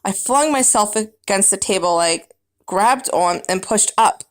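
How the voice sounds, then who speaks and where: A woman's voice: mid-range voice, a little slow. A woman reading a children's book aloud as first-person narrator, with dramatic, emphatic storybook phrasing.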